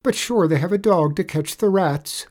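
A performer voicing a soft-hearted, gullible Irish tradesman in a storybook tale, exclaiming tearfully. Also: mid-range voice, normal speed, pitched above the performer's own voice, lightly acted. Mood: sorrowful.

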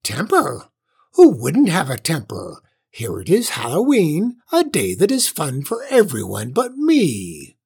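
Shrill old storybook witch. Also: wildly swooping pitch, takes it slowly, hardly any pauses, breathy edge, exaggerated voice. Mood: self-pitying, angry. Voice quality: shrill, shrieking. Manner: snorting, indignant outbursts. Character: shrill, bad-tempered, self-pitying old witch.

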